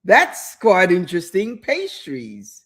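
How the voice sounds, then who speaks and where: Woman talk-show host, motivational storyteller, slow and expressive delivery, emphatic repetition, direct address to the audience. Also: lowish voice, breathy edge. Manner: emphatic, repeats words for effect, addresses listener directly; storytelling with quoted inner thoughts.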